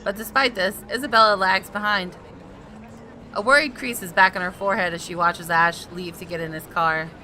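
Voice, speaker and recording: A woman gaming host reading visual novel narration aloud in an expressive storytelling style. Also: mid-range voice, moderate pace, slight background noise. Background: background music.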